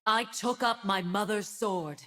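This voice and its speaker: A woman's voice: medium pitch, measured pace, a bit bright, lightly acted. A woman playing a stern, zealous divine winged warrior in a video game, making solemn, grandiose declarations. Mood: solemn, resolute. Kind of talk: video game character voice lines.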